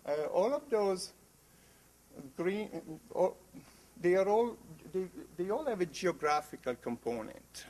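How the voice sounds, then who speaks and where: A man with an Italian accent giving an academic conference presentation, halting often with fillers and restarts. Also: sits high, animated, a little mellow, rough recording. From Italy.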